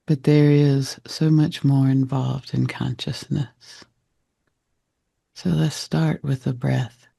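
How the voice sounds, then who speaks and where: Slow, dark, gravelly male meditation guide. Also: mid-range voice, no room sound. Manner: gentle, reflective, deliberate phrasing with short fragments.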